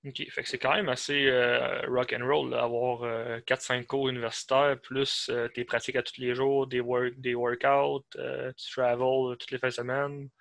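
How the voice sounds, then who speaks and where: A man with a bright, forceful voice who asks long questions that wander, full of hesitations. His tone stays even throughout. He sounds close and dry. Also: medium pitch, normal speed, creaky, hardly any pauses, no room sound. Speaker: interviewer. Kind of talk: casual interview questions.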